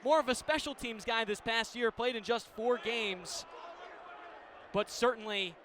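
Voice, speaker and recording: An American man doing live radio play-by-play of a game in clipped descriptive phrases, ready to burst into excited calls. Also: very high voice, a little slow. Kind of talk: live sports play-by-play commentary. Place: indoor stadium broadcast position. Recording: live radio with steady venue noise.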